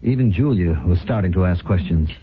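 A scheming, smooth-talking husband from a 1970s radio mystery. His deep voice moves animatedly as he glibly soothes and dodges. The sound is narrow and tinny, with faint broadcast noise. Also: lively intonation, brisk. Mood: grim narrating. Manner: glib, placating, evasive. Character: scheming, smooth-talking husband running a failing paint business. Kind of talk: 1970s radio drama acting, with first-person narration.

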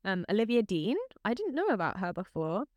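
A chatty woman co-hosting a podcast, gushing with enthusiasm and peppering her talk with "like" and casual swearing. Her voice sits at an ordinary middle pitch. The sound is dry and close, with no room around it. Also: big pitch swings, a little fast, a little mellow, dry recording. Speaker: podcast co-host. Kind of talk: casual chat.

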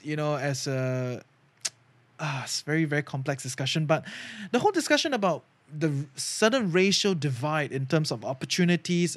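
A man's voice: on the high side, no room sound. A man from Singapore hosting a solo podcast, talking earnestly and casually, often tagging his sentences with "right?" and "you know". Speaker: podcast host.

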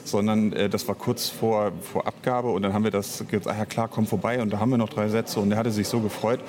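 A man's voice: fairly low pitch, little pitch movement, fast, darkish tone. A man speaking as an interview guest in casual conversation, searching for words as he explains at length. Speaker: interview guest, audio drama author and director.

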